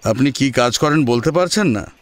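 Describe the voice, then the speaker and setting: A man's voice: mid-range voice, animated, normal speed, lightly acted. An actor playing a proud, exacting father who formally questions suitors in an audio drama. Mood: proud, questioning.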